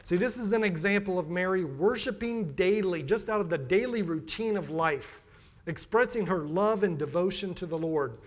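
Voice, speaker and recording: A middle-aged American man giving a sermon as a pastor, emphatic and deliberate in his delivery. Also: high voice, expressive. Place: church pulpit, indoors.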